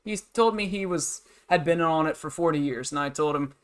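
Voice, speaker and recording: A man's voice: on the high side, moderate pace, clean tone. Young man ranting casually on stream. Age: 20s. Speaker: game livestreamer. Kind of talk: casual rambling stream chat, venting about work.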